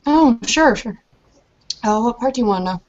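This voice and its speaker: A woman's voice: fairly high pitch, animated, normal speed, darkish tone, muffled recording. A woman chatting casually as a player in a roleplaying game.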